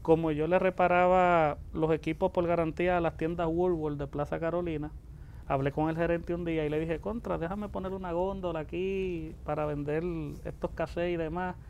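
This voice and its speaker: A man in his forties with a Puerto Rican accent tells stories in a relaxed, conversational way. His voice sits high for a man and has a gravelly creak. There is light noise around him as he talks. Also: normal speed, highs cut off. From born in the Dominican Republic, raised in Puerto Rico from age ten. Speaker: interview guest, music producer. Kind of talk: casual anecdotal storytelling.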